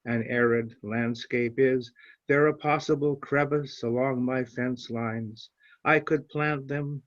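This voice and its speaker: A man's voice: mid-range voice, dry recording. A man, presenting and reading his own poetry on an online call. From Canada. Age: 70s. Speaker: poet reading own work. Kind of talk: informal introduction and measured poetry reading. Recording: dull, lo-fi online call audio.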